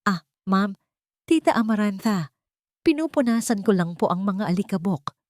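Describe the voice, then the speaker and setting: A woman's voice: mid-range voice, animated, moderate pace, darkish tone, no echo, clean studio sound, pitched above the performer's own voice, lightly acted. A Filipino woman voicing a timid, earnest young nanny who speaks hesitantly and respectfully. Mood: nervous, stammering.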